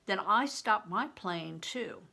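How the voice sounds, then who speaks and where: An older woman reading a storybook aloud as a grandmother, in an expressive, deliberate way. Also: mid-range voice, creaky. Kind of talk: storybook narration with explanatory asides.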